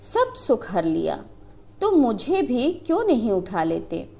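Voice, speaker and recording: A proud young village wife, faithful and fiery, her speech rising high and falling with feeling as she lingers over each phrase, as a woman storyteller puts her on. it sounds like the narrator's own voice with more heat in it. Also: huge pitch swings, slow pace, vocal fry, mellow, full character voice. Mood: grieving. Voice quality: impassioned, lamenting.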